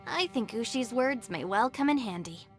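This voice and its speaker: Woman playing a composed, highish-voiced young woman. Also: animated, moderate pace, over music, close to an everyday voice. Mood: reflective. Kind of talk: video game voice acting.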